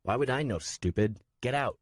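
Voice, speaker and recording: A man's voice: medium pitch, moderate pace, dry recording, clean studio sound, voice pushed up, close to an everyday voice. A man voicing a harsh, impatient adult man who bullies a child. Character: harsh, bullying adult man driving a carriage.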